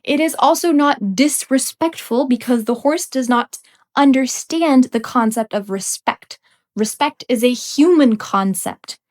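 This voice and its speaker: A young woman with a somewhat high voice delivers an unscripted rant as a podcast host. She talks bluntly and emphatically, with the odd laugh, and sounds slightly stuffy from a cold. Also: measured pace. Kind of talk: unscripted ranting commentary.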